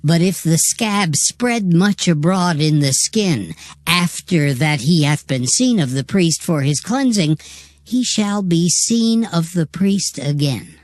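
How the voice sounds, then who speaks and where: A woman giving a measured, formal, dramatized reading of King James scripture. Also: on the low side, expressive, darkish tone, dry recording, clean studio sound.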